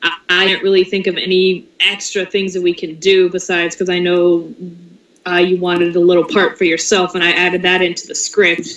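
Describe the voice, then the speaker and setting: A woman chatting casually in an online team meeting, playful and informal, halting as she goes. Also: on the low side, monotone, fairly bright tone. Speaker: team member running casting and script. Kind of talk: casual group meeting talk. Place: online group voice call. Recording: distant, echoey, dull voice-call audio.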